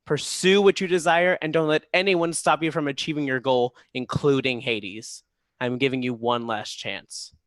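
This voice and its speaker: A man playing a stern, commanding father god in a staged play reading, grave and deliberate. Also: mid-range voice, fairly even intonation, fairly bright tone, no echo, lightly acted. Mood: stern warning.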